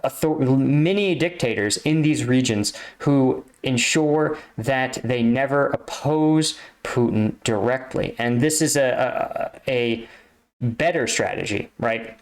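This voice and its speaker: A man with a mid-pitched voice talks through his analysis as a video host. He speaks off the cuff in an explanatory way, pausing to find the right word and checking in as he goes. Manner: analytical, halting, searches for words, checks in with "right?". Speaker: reaction video host, army combat veteran.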